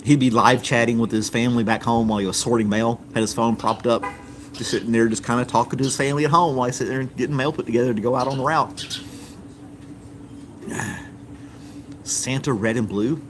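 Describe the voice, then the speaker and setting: A man's voice: mid-range voice, fairly even intonation, a little fast, slight background noise. A man from the United States hosting a live stream, chatting casually and reading viewer comments in an easygoing, rambling way with many ums.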